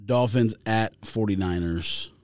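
A man with a slightly low voice talks sports on a podcast. His delivery is casual, blunt and sardonic as he disputes picks. The recording is dry, with hardly any echo. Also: unhurried, a little mellow.

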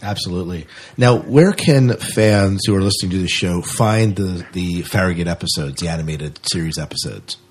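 Low, husky-voiced man hosting. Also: moderate pace. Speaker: podcast host, interviewer. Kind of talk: casual podcast interview.

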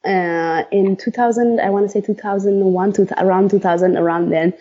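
A woman's voice: medium pitch, steady tone, brisk, dark tone. Woman, 30s, from Ethiopia, interview guest, laughs a lot, lots of fillers, muffled lo-fi sound. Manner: laughs often, many fillers. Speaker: guest, runner. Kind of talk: casual interview talk.

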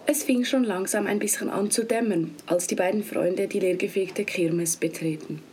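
A woman's voice: medium pitch, normal speed, darkish tone, slight background noise. A teenage girl with a Swiss German accent reading the narration of a student radio play. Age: teens. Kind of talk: scripted narration.

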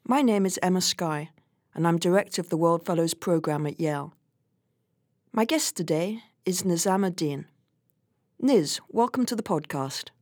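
Woman podcast host, lower pitch, friendly interviewer, dry close sound. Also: normal speed, a little mellow, no echo. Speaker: podcast host, program director.

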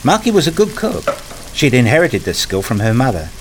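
A man reading a scripted first-person story as narrator, in a measured, introspective manner. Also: mid-range voice, huge pitch swings, noisy background.

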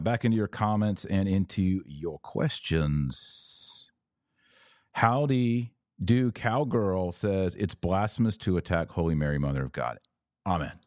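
A man with a deep, mellow voice hosts a religious podcast. He explains things emphatically and didactically, often posing rhetorical questions as he responds to listeners. The sound is dry and close. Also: dry recording. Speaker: podcast host. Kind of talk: religious commentary answering live viewer comments.

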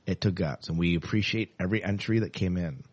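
A man's voice: low-pitched, brisk, husky, no room sound. A man hosting a podcast in a casual, chatty and enthusiastic way. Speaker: podcast host.

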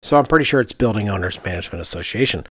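A man's voice: on the low side, expressive, very fast, a bit bright, dry recording. A man co-hosting a podcast, explaining things casually and conversationally, often thinking aloud. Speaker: podcast co-host, architect.

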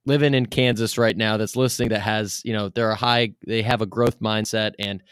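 A man with a fairly low voice, captured close and dry, hosts a casual interview. His phrasing is informal and loose, and his questions wander as he builds them. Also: fairly even intonation, a little fast, fairly bright tone, clear, resonant tone, no room sound. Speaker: podcast host, interviewer.

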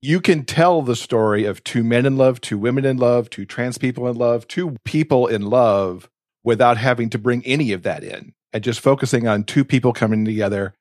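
A man's voice: mid-range voice, moderate pace, darkish tone, no room sound, studio quality. A man co-hosting a podcast, talking conversationally in a discussion. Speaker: podcast co-host.

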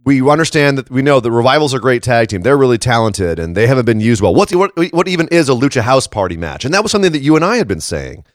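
A man with a slightly hoarse, froggy voice chatting casually and emphatically as a podcast host. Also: mid-range voice, big pitch swings, quick pace, hardly any pauses, no room sound, studio quality. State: tired froggy morning voice after a late shift. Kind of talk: casual wrestling commentary chat.

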